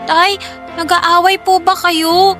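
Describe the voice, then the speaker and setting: Very high-voiced innocent Filipino girl. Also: level tone, takes it slowly, fairly bright tone, soft background music, exaggerated voice. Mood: puzzled, pleading. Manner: polite, childlike, pleading. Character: innocent, affectionate little girl, worried about her parents. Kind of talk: Filipino radio drama acting.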